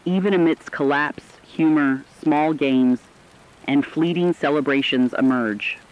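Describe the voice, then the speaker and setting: A man playing an earnest radio reporter, reading formal, measured news narration in a dramatic scripted style. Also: on the high side, highs cut off, natural voice. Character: earnest radio reporter analysing a world catastrophe.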